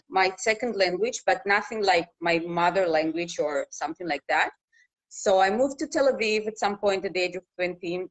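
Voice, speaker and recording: A woman's voice: mid-range voice, low-quality recording. Russian-accented woman telling her story. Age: 30s. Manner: halting non-native English with many fillers and restarts. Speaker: guest, news reporter. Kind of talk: casual interview conversation.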